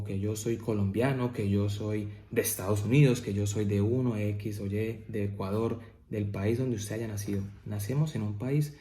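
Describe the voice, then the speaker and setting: A man's voice: on the low side, warm tone, echoey room. A man preaching a family devotional sermon, often checking in with "¿cierto?" and rising to emphatic peaks. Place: church.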